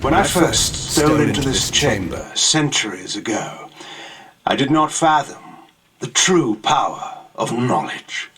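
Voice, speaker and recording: An actor playing an ancient, grandiose male vampire lord in a video game cutscene, declaiming slowly. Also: mid-range voice, sing-song, a bit bright, distant mic, faint music behind.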